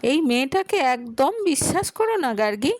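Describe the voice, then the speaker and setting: A woman's voice: sits high, big pitch swings, moderate pace, no room sound, clean studio sound, pitched above the performer's own voice. A woman voicing a cornered, defensive husband who is a professor, talking tense and desperate in an audio story. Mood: angry, desperate.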